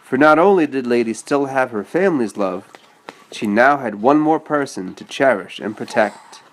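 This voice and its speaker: A man's voice: mid-range voice, animated, normal speed, a little hiss or hum, low-quality recording. A man reading a children's story aloud and chatting patiently with a child, explaining as he goes. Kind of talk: read-aloud storytelling with casual asides to a child.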